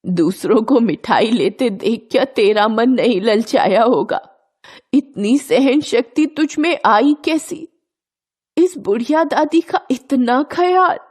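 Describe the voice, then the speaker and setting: A woman's voice: on the high side, lively intonation, takes it slowly, a little mellow, husky, heavily acted. A woman voicing an anxious, loving old village grandmother, fretful and emotional, in a children's story. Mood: moved, tearful.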